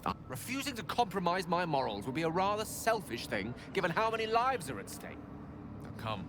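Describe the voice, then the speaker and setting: An actor playing a learned, wordy wizard in a video game, eloquent and musing aloud. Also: male voice, sits high, very animated, a little fast. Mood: resolute. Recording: game audio with clear background noise.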